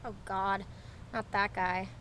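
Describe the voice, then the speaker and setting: A woman's voice: medium pitch, little pitch movement, slow, fairly bright tone, a little hiss or hum. An actress playing a wary, candid young American woman from a small town in an audio drama, in a conversational style. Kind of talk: naturalistic audio drama dialogue.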